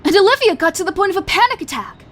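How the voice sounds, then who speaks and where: Woman acting high-pitched, excitable, sassy young woman. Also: racing. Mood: concerned, dramatic. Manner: bubbly, sassy, exaggerated emphasis. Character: excitable, chatty, dramatic young female best friend. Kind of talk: audio drama acting.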